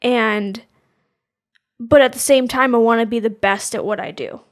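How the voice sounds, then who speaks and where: A woman's voice: on the high side, fairly even intonation, normal speed. A woman in her twenties co-hosting a podcast, talking casually and rambling, searching for words with lots of fillers. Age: 20s. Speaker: podcast co-host. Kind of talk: casual chat.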